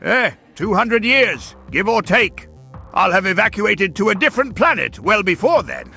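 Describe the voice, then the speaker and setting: Pompous cartoon villain scientist, really high and croaky, gloats and sneers. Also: male voice, very animated, moderate pace, bright, forceful tone, soft background music, full character voice. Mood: dismissive. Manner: gloating, theatrical. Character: pompous male mad scientist villain, smug and sarcastic.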